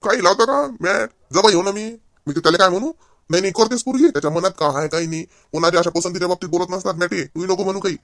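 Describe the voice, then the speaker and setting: A man plays a henpecked cartoon husband with a fairly high male voice and a Vidarbha Marathi accent. He grumbles with sarcastic, mock-tragic emphasis, stretching words for comic effect. The sound is dry, without room echo. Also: quick pace, a bit bright. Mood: explaining. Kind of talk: comedy cartoon dialogue.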